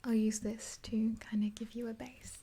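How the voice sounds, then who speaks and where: A woman in her twenties whispering gently and breathily in an ASMR roleplay, close to the mic. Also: fairly high pitch, little pitch movement, slight background noise. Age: 20s. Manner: gentle, unhurried. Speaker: ASMR creator playing a makeup artist. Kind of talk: ASMR roleplay, soft rambling chat. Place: small indoor room. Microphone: close mic, face near camera.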